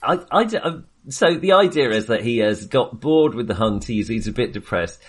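A man's voice: medium pitch, animated, moderate pace, husky. A man hosting a casual film discussion, talking in a hesitant, jokey way with wry understatement. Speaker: film podcast host.

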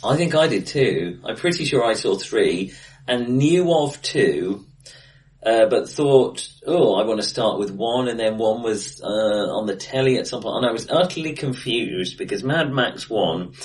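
A man's voice: lowish voice, expressive, unhurried, darkish tone, distant mic. Roomy off-mic man chatting about films. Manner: hesitant, laughs often, many fillers. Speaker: podcast co-host. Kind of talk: casual film discussion. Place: acoustically bright room, recorded face to face.

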